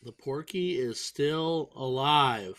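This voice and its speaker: A man's voice: mid-range voice, slow, fairly bright tone. A man commentating a darts tournament, joking and poking fun at himself. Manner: playful, self-mocking banter. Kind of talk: joking live sports commentary.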